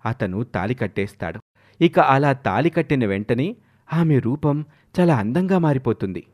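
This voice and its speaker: A man's voice: medium pitch, expressive, moderate pace, a little mellow. A man narrating a scripted moral story in a dramatic storytelling style.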